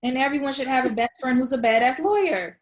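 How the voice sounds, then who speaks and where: A woman's voice: sits high, quick pace, lightly acted. A woman playing a wry, awkward, defensive young woman in a casual screenplay table read.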